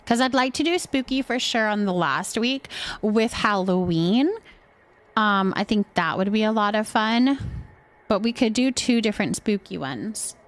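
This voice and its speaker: A woman's voice: mid-range voice, a little slow. A woman hosting a live game stream, chatting casually and thinking aloud as she goes.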